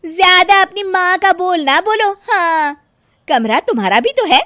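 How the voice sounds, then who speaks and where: A very high, swooping voice put on by a woman narrator plays a sulky, lazy daughter-in-law. She whines and snaps back defiantly, as in a dramatic family storybook tale, recorded close and dry. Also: lively intonation, a little slow, bright, forceful tone, dry recording, exaggerated voice. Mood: defiant, snappy. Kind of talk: acted Hindi moral story.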